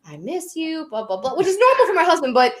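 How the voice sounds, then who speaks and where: A woman's voice: very high-pitched, quick pace. A woman in her thirties with a Long Island accent, co-hosting a live podcast and telling stories in casual chat, acting out the quotes. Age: 30s. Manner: animated storyteller, acts out quotes. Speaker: podcast co-host.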